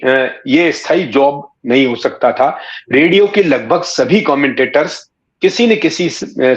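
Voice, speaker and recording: In an echoey, slightly dull recording, a man. His voice sits rather high, and he tells his recollections courteously, hesitating and pausing as he goes. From Rajasthan, India. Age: 60s. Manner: measured, hesitant, pausing mid-sentence, courteous. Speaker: interview guest, writer and former cricket commentator. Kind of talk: reflective conversational storytelling.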